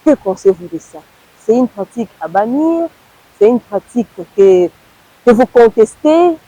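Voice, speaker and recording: A sports show interviewer poses questions in a plain, slightly hesitant way. The voice is mellow and mid-pitched, recorded dry and close. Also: big pitch swings, unhurried, husky, no echo, low-quality recording.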